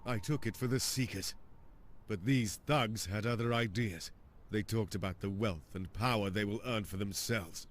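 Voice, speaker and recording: A man playing an anguished, defiant new vampire in a game, with a halting, melodramatic delivery. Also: on the low side, a little hiss or hum, natural voice. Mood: reluctant confession. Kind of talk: dramatic RPG voice acting.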